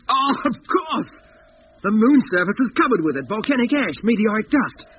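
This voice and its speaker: A man's voice: sits high, sing-song, moderate pace. An actor playing a blunt, skeptical spaceship crewman in a 1950s radio drama. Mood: relieved. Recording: dull, faintly noisy 1950s radio recording.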